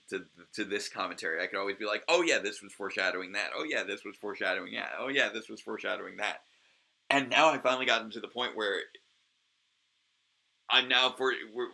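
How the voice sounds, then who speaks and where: Male host, deep gravelly voice, tense sharp edge, halting delivery full of ums. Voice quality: naturally low voice. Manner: hesitant, full of ums and restarts. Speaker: host of a review series. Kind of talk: casual solo commentary.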